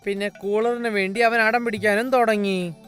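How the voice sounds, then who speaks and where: A man narrating a cartoon fairy tale in a plain, even storytelling manner. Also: high-pitched, normal speed, clear, resonant tone, soft background music. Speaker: narrator and voice of several characters.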